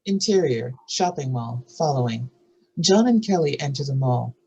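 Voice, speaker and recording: Casting: male narrator, husky, reads screenplay directions aloud. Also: medium pitch, expressive, normal speed, warm tone, muffled recording. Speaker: narrator reading stage directions. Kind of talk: scripted narration.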